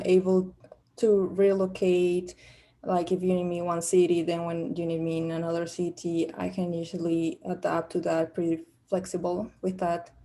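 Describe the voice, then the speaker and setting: A woman's voice: lowish voice, warm tone. A young woman from Peru with a Peruvian Spanish accent, speaking English as a second language, answering questions in a practice job interview with many fillers and restarts. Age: young adult. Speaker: job candidate.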